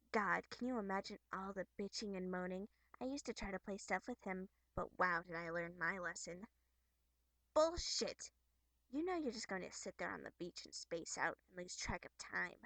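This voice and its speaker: A woman's voice: mid-range voice, fairly bright tone, dry recording. A woman voicing a brash, cocky teenage troll girl who talks in a mocking, sarcastic way. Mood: scornful.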